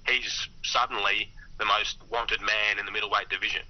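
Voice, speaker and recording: A man's voice: lowish voice, level tone, normal speed, dull audio. A man speaking as a sports pundit, giving his analysis in an interview. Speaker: boxing pundit. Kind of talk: interview commentary.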